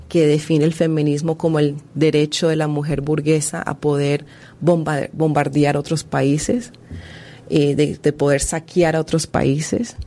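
Low mellow-voiced woman historian. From Colombia. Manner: earnest, measured, with restarts. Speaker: guest author and historian. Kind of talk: radio interview answers.

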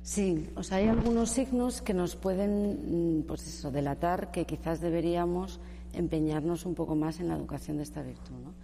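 A woman speaks as a panel guest in a measured way, scattering fillers and tag questions through her points. Her voice is low, dark and gravelly. The room adds a long reverb over faint background noise. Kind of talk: discussion panel.

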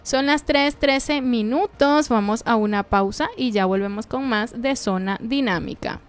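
A woman's voice: fairly high pitch, measured pace, clear, resonant tone. A Venezuelan woman hosting a live radio show, interviewing a guest in an enthusiastic way. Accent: Venezuelan Spanish. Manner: warm, enthusiastic, laughing interviewer.

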